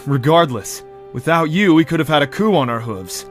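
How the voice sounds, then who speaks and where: An actor playing a commanding yet affectionate young pony prince, earnest in manner, in an audio drama. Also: male voice, on the high side, animated, moderate pace, faint music behind, natural voice. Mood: warm, grateful.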